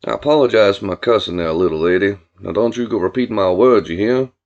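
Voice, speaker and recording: Man putting on a low, drawling, swaggering gang boss. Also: fast talker, a little mellow, highs cut off, natural voice. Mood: mock-apologetic. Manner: drawling, mock-courteous, domineering. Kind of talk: audiobook character voice.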